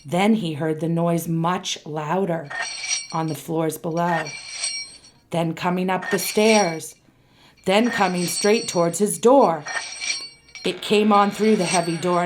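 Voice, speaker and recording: A woman narrating a radio play in a steady storytelling voice. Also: lowish voice, a little slow, background noise.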